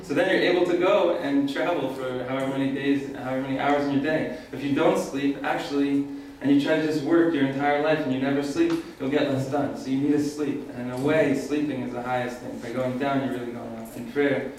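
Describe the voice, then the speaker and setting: A young man on a panel, explaining a point in an even, plain way. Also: mid-range voice, a little fast, a little hiss or hum. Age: young adult. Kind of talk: panel discussion talk. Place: large hall with long table. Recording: echoey hall, distant mic.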